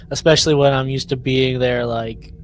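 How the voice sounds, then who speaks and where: A man co-hosting a podcast in relaxed, informal chat, with a wry and joking way of talking. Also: mid-range voice, a little slow, slight background noise. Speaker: podcast co-host. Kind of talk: casual chat between friends.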